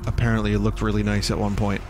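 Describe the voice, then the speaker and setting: A man's voice: fairly low pitch, monotone, fast talker. A man casually commenting while he plays a video game, rambling and joking with playful wordplay. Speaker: let's play host. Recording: loud game music behind voice. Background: game music and sound effects.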